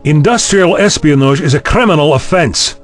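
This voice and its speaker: An actor playing an indignant, officious vice-chancellor in an audio drama, brusque and scolding with a husky voice. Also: male voice, a little high, expressive, normal speed, faint music behind, close to an everyday voice.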